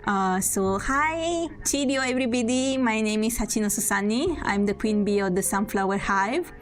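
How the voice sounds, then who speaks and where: A woman's voice: medium pitch, normal speed, soft background music. Italian-accented young woman streamer, self-conscious. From Italy. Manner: self-conscious, apologetic, laughs often. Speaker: VTuber streamer. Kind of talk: casual live-stream self-introduction.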